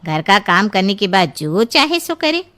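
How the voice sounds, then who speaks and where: Woman acting a sharp, bossy cartoon in-law. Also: mid-range voice, very animated, unhurried. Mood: matter-of-fact. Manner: matter-of-fact, directing. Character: brisk, bossy in-law woman of a big household. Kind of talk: Hindi moral-story cartoon acting.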